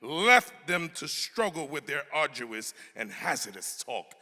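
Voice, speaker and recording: Animated, emphatic man reading in a reverberant hall. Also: a little high, measured pace. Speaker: actor giving a dramatic reading. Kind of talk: dramatic reading of an 18th-century pamphlet.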